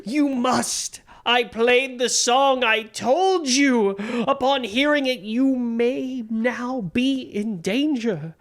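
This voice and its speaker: A man's voice: very high voice, takes it slowly, hardly any pauses. A man playing an earnest, anxious songwriter in a comedic audio drama, pleading melodramatically. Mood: urgent, pleading. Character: earnest, anxious songwriter seeking a friend's help.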